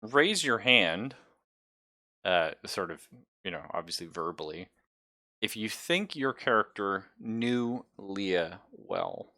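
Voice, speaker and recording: A man's voice: fairly low pitch, animated, takes it slowly, sharp tone, no echo, clean studio sound. A man running a tabletop roleplaying game as game master, narrating casually.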